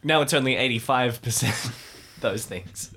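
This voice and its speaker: A man's voice: mid-range voice, unhurried, bright, forceful tone, breathy edge. A man playing in an improvised tabletop game, bantering casually and describing what his character does. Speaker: player in an audio drama game.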